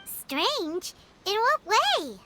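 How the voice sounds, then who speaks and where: A woman voicing a small, excitable and blunt childlike fairy companion in a video game, with a squeaky voice and a questioning, exclaiming manner. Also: very slow, slight background noise, heavily acted. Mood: curious, prodding. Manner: refers to self in third person, exclaims, questioning. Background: background music.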